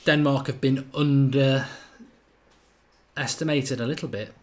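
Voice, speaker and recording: A man's voice: medium pitch, steady tone, slow. A man with a slight Irish accent hosting a live football watchalong, chatting and thinking aloud while he reads out viewer comments. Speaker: host of a live match watchalong.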